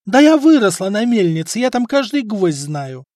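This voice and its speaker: A man voicing a sharp-tongued village wife who yells and scolds her husband in a storybook reading. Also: high voice, big pitch swings, normal speed, no echo, studio quality, voice pushed up. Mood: condescending. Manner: shrieking, scolding. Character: shrill scolding village wife, middle-aged.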